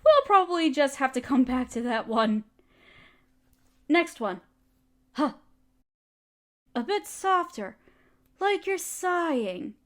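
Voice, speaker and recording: Woman doing a loving spider queen mom for roleplay audio, high lilting voice, sweet n patient, bit regal. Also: sing-song, slow pace, long pauses, a bit bright, exaggerated voice. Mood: patient, encouraging. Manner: tender, patient, encouraging, formally worded. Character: doting motherly spider queen, regal, gently teasing, protective. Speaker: mother figure teaching a language. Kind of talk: roleplay audio, softly acted monologue.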